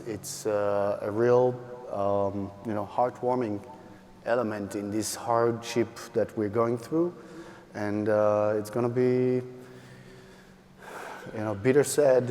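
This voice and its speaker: Low-voiced man in a hall. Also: a little mellow. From Israel. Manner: hesitant, many fillers. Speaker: guest, parent of a hostage. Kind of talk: onstage conversation. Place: large event hall. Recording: reverberant hall with faint noise.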